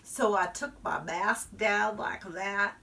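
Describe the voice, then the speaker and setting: A woman's voice: mid-range voice, slow pace, vocal fry, sharp tone, far from the mic, heavily acted. A woman storyteller voicing a chatty little first-grade girl who confides in her listeners. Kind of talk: performed storytelling.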